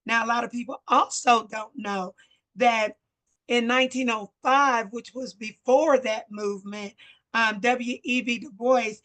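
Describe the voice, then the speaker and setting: An older woman from Missouri, a bit hoarse, giving an earnest talk at a community meeting as a chapter president. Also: on the high side, a bit bright, low-quality recording. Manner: earnest, explanatory, short phrases with fillers. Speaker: guest speaker, local civil rights chapter president.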